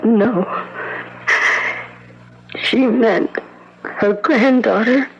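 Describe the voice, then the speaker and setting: An actress playing an earnest, tender young woman in a 1970s radio drama, speaking gently and hesitantly. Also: on the high side, extremely slow, slightly rough, soft background music, heavily acted. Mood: puzzled, gentle. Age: young adult. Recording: dull lo-fi 1970s radio recording.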